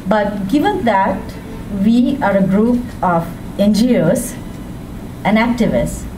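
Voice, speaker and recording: A woman from Sri Lanka giving a public speech as a women's rights advocate, with a measured, deliberate delivery and emphatic rises. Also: mid-range voice, expressive, music in the background. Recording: distant mic with background noise.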